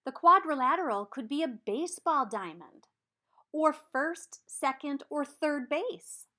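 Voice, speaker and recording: Female picture-book narrator: slightly high voice, very varied intonation, deliberate emphasis on key words. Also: expressive, pushed and bright. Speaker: storybook reader. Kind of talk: expressive children's read-aloud narration.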